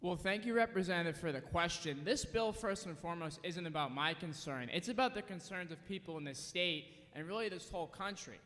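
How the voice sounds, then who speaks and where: A man's voice: high voice, fairly quick, hardly any pauses, a bit bright. A man, a state representative, giving insistent formal testimony for his bill at a committee hearing. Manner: insistent, emphatic, persuasive. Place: legislative committee hearing room. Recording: long hall reverb.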